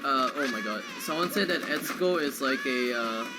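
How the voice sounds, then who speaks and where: A man's voice: mid-range voice, animated, normal speed. A man doing casual live video game commentary in an informal, chatty way, as a speedrunner and co-commentator. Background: video game music.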